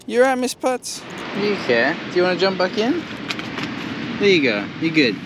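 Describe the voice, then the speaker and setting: Young aussie bloke vlogging on the road, chatty and relaxed, slightly high voice, loud wind and traffic behind. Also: male voice, normal speed. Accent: Australian. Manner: chatty, easygoing, talks to his dog and viewers. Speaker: travel vlogger. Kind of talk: casual travel vlog. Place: outdoors on a beach and in town. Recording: outdoor camera audio with loud background noise.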